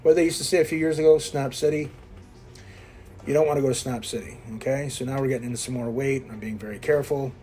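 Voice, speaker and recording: A man in his fifties talks viewers through his gym routine between sets, blunt and self-mocking as he coaches. His voice sits at an ordinary middle pitch for a man, and faint music plays behind him in the gym. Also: fairly even intonation, fast, warm tone. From Quebec, Canada. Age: 50s. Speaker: fitness vlogger. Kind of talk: casual workout commentary. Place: indoor gym.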